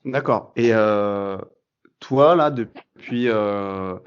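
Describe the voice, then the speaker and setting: A man hosting a podcast, asking casual, halting questions full of fillers and restarts. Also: lowish voice, clear, resonant tone, highs cut off. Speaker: podcast host. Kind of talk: casual interview.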